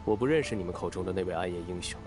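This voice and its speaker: A cool young nobleman played by a man with a lowish voice and brisk delivery. He is formal, terse and restrained, a video game character speaking over clear music. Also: darkish tone, close to an everyday voice. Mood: cool, serious. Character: cool, reserved young nobleman, a tavern and winery owner. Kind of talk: Chinese video game voice acting. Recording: music behind the voice.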